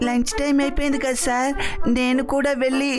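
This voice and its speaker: Woman voicing a high-voiced cheeky boy hero. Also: little pitch movement, fast, music in the background, natural voice. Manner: confident, mock-polite. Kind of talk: dubbed children's cartoon acting.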